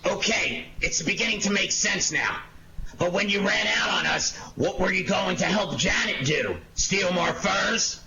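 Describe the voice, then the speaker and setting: A man's voice: sits high, animated, a little slow, creaky, dull audio, over a noisy crowd, exaggerated voice. A man playing an irate, sarcastic police inspector in a 1940s-style radio detective drama, scolding and blustering. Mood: suspicious, blustering.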